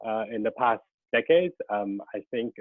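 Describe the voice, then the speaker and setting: A man's voice: on the low side, animated, moderate pace, no echo. A man in his thirties from Taiwan whose first language is Mandarin, giving a guest lecture on a video call, hesitant with many ums and restarts. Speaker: guest lecturer, graphic designer. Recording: tinny dry video-call audio.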